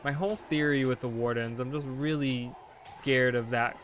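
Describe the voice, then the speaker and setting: A young man in his late twenties talks loosely while he plays a game on stream, his pitch sitting in an ordinary middle range. He wanders from thought to thought, fills the gaps with "like" and "you know", and corrects himself mid-sentence. A faint background hum runs under his voice. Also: steady tone, normal speed, a bit bright. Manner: rambling, full of "like" and "you know"; thinking aloud, self-correcting. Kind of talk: casual chat while gaming.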